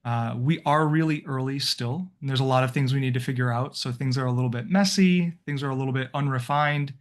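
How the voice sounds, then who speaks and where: A man's voice: medium pitch, a little fast. A man hosting a volunteer video call as coordinator, talking in a friendly, rambling way with many fillers and restarts. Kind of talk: casual video-call welcome.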